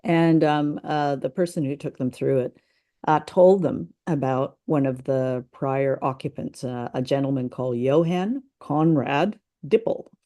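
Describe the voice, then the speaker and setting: Woman co-host explaining things haltingly. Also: extremely low pitch, warm tone. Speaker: podcast co-host. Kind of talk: casual explanatory discussion.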